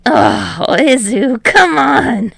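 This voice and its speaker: A woman's voice: sits high, expressive, drawn-out words, gravelly, no echo, clean studio sound, pitched above the performer's own voice, full character voice. A woman voicing a playful, smug young male villain in an audiobook, teasing like an older brother. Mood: gleeful teasing.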